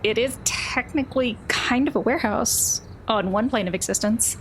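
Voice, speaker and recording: Creaky-voiced woman running a tabletop game. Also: fairly high pitch, moderate pace, slight background noise, rough recording. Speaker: game master. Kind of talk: improvised tabletop game narration.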